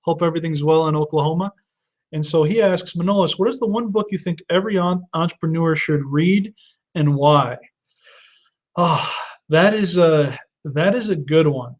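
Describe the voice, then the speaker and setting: A man in his thirties hosts a live webinar and answers viewer questions one by one. His voice sits a touch high, and he gives earnest advice, often restarting mid-sentence. The audio is dull and lo-fi. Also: normal speed. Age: 30s. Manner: earnest advice-giving with frequent restarts and fillers.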